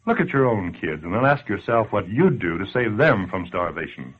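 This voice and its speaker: Tinny old radio: sardonic American wise guy, low swooping voice, needling the listener. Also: big pitch swings, moderate pace, breathy edge. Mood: grim reproach. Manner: slangy, sardonic, badgering. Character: brash wisecracking male narrator needling the listener at home. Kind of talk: 1940s radio acting, direct address. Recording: tinny, band-limited old radio broadcast.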